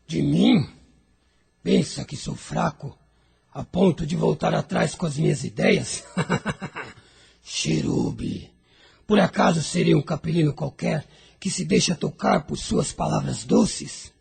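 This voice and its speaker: A man playing an arrogant, mocking dragon lord in an audio drama, with a raspy voice and a sneering, theatrical delivery. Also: fairly high pitch, big pitch swings, takes it slowly, a little mellow, exaggerated voice. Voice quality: raspy, gravelly.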